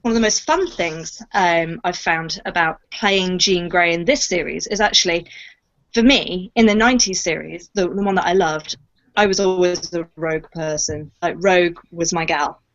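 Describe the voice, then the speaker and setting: A woman's voice: fairly low pitch, moderate pace, muffled recording. A woman who acts in audio drama, chatting reflectively and expressively about her work, stressing key words. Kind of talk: casual Q&A chat.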